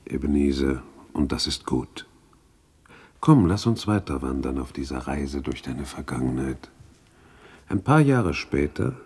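An actor playing a solemn, reproachful ghost in a radio play, speaking in a grave, probing, admonishing manner. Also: male voice, a bass voice, creaky, darkish tone, breathy edge, full character voice. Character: solemn, reproachful male ghost of Christmas past. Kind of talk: 1960s German radio play acting.

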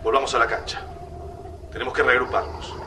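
An actor playing an alert, practical resistance fighter in a radio drama, an Argentine man giving brisk orders. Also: mid-range voice, level tone, background noise, natural voice. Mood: alert, urgent.

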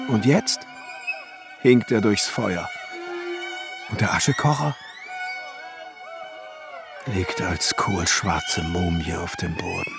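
An actor playing a bitter, brooding ruined court glassmaker in a German radio play, declaiming theatrically in poetic monologue. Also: male voice, medium pitch. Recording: studio radio play with music underneath.

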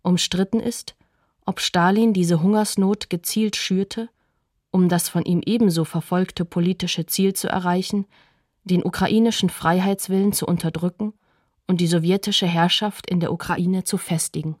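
A woman reading scripted radio documentary narration in a sober, factual, measured manner. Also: fairly low pitch, fairly even intonation, darkish tone, no echo, studio quality.

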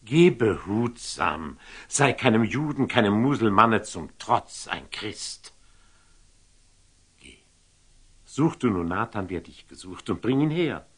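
An actor playing a shrewd, indulgent older sultan in a radio play, speaking classical verse with measured authority. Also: male voice, lowish voice, sing-song, fairly bright tone, slightly rough, exaggerated voice. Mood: calming, commanding.

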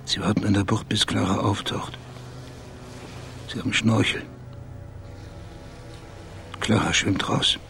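Deep gravelly male sleuth. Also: steady tone, slow pace, pauses often, a bit bright, soft background music, exaggerated voice. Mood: calm, grave. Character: low-voiced male sleuth calmly reconstructing a murder. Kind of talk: German radio crime drama acting.

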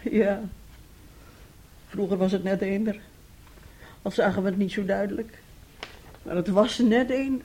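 A middle-aged mother in a radio drama, worn down and bitter. Her voice is husky, and she speaks with theatrical, confiding weariness, full of despair at her unhappy household. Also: medium pitch, steady tone, unhurried, long pauses, dark tone. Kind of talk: Dutch radio play acting.

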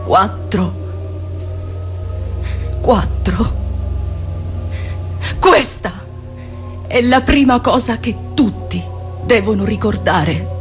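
An actress playing an anguished woman recounting her violent trauma in a dramatic, emotionally charged theatrical monologue full of pauses. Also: on the high side. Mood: grave, wounded.

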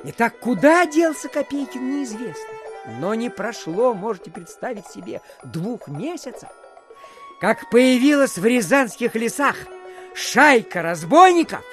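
A man's voice: very high voice, very animated, unhurried, over music, exaggerated voice. An actor playing a garrulous, self-important provincial official who rambles through his stories with filler phrases, in an audio drama. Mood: animated storytelling. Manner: digressive, full of filler phrases. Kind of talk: theatrical audiobook radio play.

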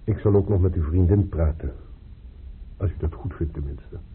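An actor in a radio drama playing a calm, reasoning hospital doctor, measured, polite and gently instructive. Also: male voice, low-pitched, slight background noise, close to an everyday voice. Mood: calmly advising. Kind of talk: Dutch radio drama acting. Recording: muffled, phone-like old radio play recording.

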